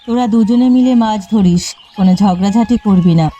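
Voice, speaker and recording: A mellow, dark-toned eldest sister from a village cartoon voiced by a woman. She is upright and stern, speaking like a weary elder who admonishes her siblings. Light music plays underneath. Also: mid-range voice, a little fast, natural voice. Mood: disillusioned, resigned. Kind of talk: cartoon dialogue. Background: faint music.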